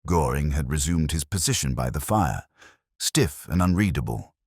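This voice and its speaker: Very deep-voiced man narrating a story. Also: expressive, normal speed, darkish tone, slightly rough, no room sound, studio quality. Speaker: audiobook narrator. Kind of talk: scripted first-person detective story narration.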